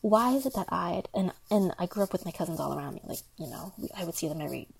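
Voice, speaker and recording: A woman's voice: lowish voice, expressive, fast talker, creaky, a little mellow, husky, no room sound, poor audio. A young woman hosting a podcast, talking through her thoughts in a solo monologue, earnest and full of fillers and restarts. Her first language was Thai Chinese. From United States. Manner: earnest, thinking aloud with many fillers and restarts. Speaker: podcast host.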